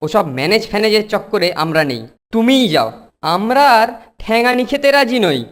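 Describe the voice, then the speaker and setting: Woman as a lively, cheeky young schoolboy. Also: mid-range voice, brisk, natural voice. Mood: defiant. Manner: sceptical, assertive. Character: cheeky, wary young schoolboy, leader of a pair. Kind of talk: Bengali children's cartoon dialogue.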